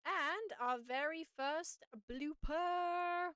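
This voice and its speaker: A woman with a slightly raspy voice, casually walking viewers through audio editing on a live stream and thinking aloud as she works. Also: very high voice, extremely slow, a bit bright, no room sound, studio quality.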